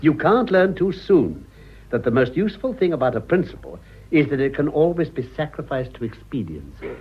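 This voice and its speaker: An actor playing an older, worldly English gentleman and scheming father in a British radio drama, dryly amused and urbane. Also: a little high, very animated, fairly quick, dull audio, a little hiss or hum. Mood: wry, worldly. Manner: dry, drawling, amused.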